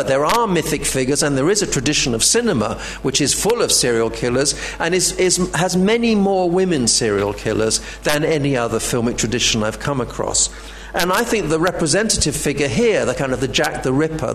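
A man giving an academic lecture, halting and full of fillers and restarts. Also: medium pitch, a little hiss or hum.

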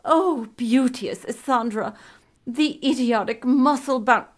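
Lady doing a fancy gallant lord, lovestruck but haughty, flowery talk, unhurried, slightly raised voice. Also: a little high, pitched above the performer's own voice. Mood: haughty irritation. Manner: pompous, archaic flowery phrasing. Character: florid, gallant, lovestruck nobleman, devoted and haughty. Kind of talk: fantasy audiobook character acting.

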